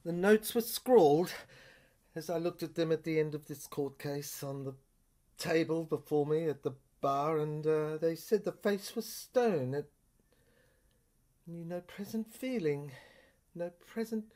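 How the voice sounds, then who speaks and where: A woman with a lowish voice performs a literary monologue very slowly. Her delivery is theatrical and intense, with phrases that break off and halt as she goes. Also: warm tone. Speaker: performer reading a serial screenplay.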